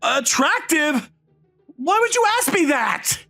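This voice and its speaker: A man's voice: extremely high pitch, very animated, takes it slowly, gravelly, pushed and bright, roomy sound, long reverb tail, faint music behind, exaggerated voice. A man voicing an easily flustered, incredulous teenage schoolboy in a romantic comedy comic dub. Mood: panicked. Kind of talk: anime-style comic dub, dialogue and inner monologue.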